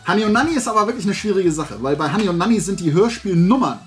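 A man's voice: high voice, lively intonation, fast. A middle-aged man chatting casually and enthusiastically in a rambling haul vlog. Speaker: YouTube host and collector. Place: indoor room full of shelved toys.

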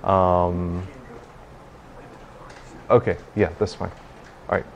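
A young man giving an informal tech demo talk in a hesitant, halting way, recorded in a meeting room. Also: low voice, level tone, gravelly, muffled recording, a little hiss or hum. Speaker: presenter, game developer. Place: indoor hackerspace meeting room, small stage.

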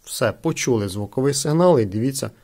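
A man's voice: mid-range voice, animated, fairly quick, heavy background noise. A man reviewing gadgets in a casual, explanatory hands-on style, often repeating himself. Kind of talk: casual hands-on product demonstration. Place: indoors at a wooden table.

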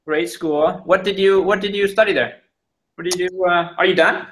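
A man hosting a live online interview talks in a bright, fairly high voice. He stumbles through his questions with restarts, repeated words and a steady stream of uhs. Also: rough recording. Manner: halting, many restarts and fillers. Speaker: interview host.

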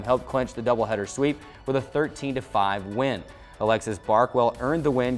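Man, twenties, American accent, sports show host, scripted recap, studio desk, light music behind. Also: medium pitch.

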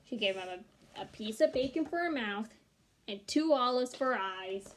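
A woman's voice: on the high side, expressive, measured pace, a little hiss or hum. A young adult woman narrating a children's storybook aloud in a small room at home. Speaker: storybook reader.

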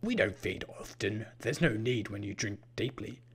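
A man voicing a menacing, haughty vampire-like killer in a story, with a husky, breathy voice and an odd, deliberate way of talking. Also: fairly low pitch, lively intonation, fairly bright tone, a little hiss or hum. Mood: smug, lecturing. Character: menacing, haughty purple-skinned vampire-like killer, male, unhurried.

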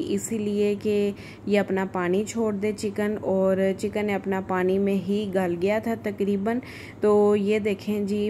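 A woman home cook talks through a recipe in a casual, chatty way. Her voice is soft-edged and mellow at a medium pitch, and it stays fairly flat. There is noticeable noise behind the voice-over. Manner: informal, chatty, homely asides. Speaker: home cook, cooking channel host. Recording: voice-over with clear background noise.

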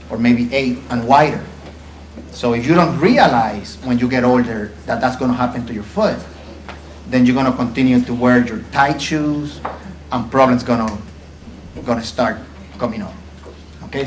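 Puerto Rican man giving a halting lecture. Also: mid-range voice, a little hiss or hum, rough recording. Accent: Puerto Rican Spanish with some Texas. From Puerto Rico. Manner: halting, repeats words, frequent fillers. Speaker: podiatrist lecturer. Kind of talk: medical lecture to students. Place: classroom with projector screen. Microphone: lapel.

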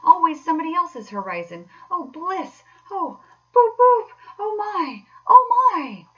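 A rapturous storybook toad gentleman, played by a woman, swooning and theatrical. The voice rides high and bright, lifting into breathless exclamations as he dreams aloud about his obsession. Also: big pitch swings, a little slow, distant mic, muffled recording, exaggerated voice. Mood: dreamy rapture, then ecstatic.

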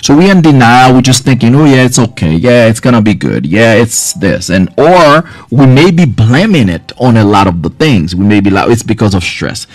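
A man's voice: mid-range voice, no room sound. A man in his forties from Haiti, a health care provider giving casual, direct advice to viewers on a live stream from a small office. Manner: earnest, direct, advising viewers, loose filler words. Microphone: studio mic on boom arm, front camera close-up.

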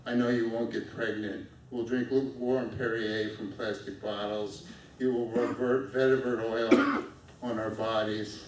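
A middle-aged man reading his own poem aloud at a lectern on a small dark stage, in a steady, measured way. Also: medium pitch. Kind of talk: poetry reading. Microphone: stand mic at a lectern, filmed from far off. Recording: echoey room from far off, faint noise.

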